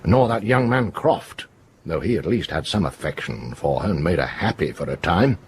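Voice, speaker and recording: An actor playing a stern, righteous middle-aged police inspector in a radio drama, speaking with deliberate, commanding, moralising authority. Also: male voice, sits low, lively intonation, a little hiss or hum. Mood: grave warning.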